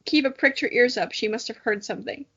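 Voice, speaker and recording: A young woman with a high voice reads aloud and drops in casual, jokey asides. She co-hosts in a self-mocking, playful manner. The recording is dull and lo-fi. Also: quick pace, vocal fry, fairly bright tone, breathy edge. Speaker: podcast co-host. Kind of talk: reading aloud with casual commentary. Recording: dull, lo-fi audio.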